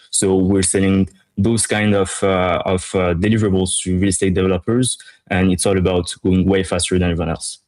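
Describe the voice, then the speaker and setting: A man from Morocco speaking as a startup co-founder in an interview, pitching his business conversationally with emphatic repetition. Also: a little mellow.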